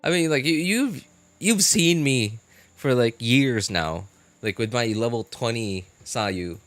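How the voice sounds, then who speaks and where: A man casually chatting as a live-stream host, answering viewers in a halting way and repeating his phrases. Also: mid-range voice, no echo, soft background music. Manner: halting, repeats phrases, animated incredulous reactions.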